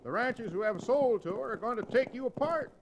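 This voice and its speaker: An actor playing a colorful, talkative cowboy sidekick with folksy exclamations in an old radio Western. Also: male voice, extremely high pitch, lively intonation, a little fast. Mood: wary, grumbling. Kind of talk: 1940s radio Western acting. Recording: noisy old 1940s radio recording.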